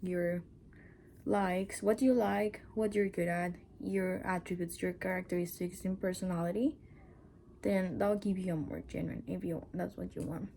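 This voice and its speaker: A woman with a Mexican accent talks in a loose, rambling vlog. Her voice is mellow with a gravelly edge, and she sometimes sinks into a whisper. Faint noise hums behind her. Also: fairly low pitch, steady tone, normal speed. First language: Spanish. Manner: chatty, rambling, restarts and asides. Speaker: channel host. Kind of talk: casual personal vlog.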